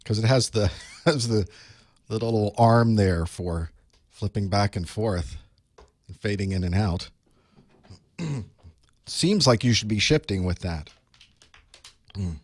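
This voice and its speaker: Hoarse man chatting before a stream. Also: lowish voice, slow, long pauses, dry recording. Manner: chatty, wry, talks to himself while setting up. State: hoarse from a cold. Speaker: online course instructor. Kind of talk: casual pre-show chat to a live stream. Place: small studio desk.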